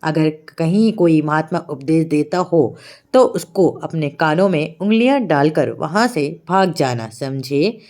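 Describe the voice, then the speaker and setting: A woman voicing an old thief father who instructs his son in a slow, cautioning manner in a storybook tale. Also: sits low, lively intonation, a little mellow, pitched below the performer's own voice.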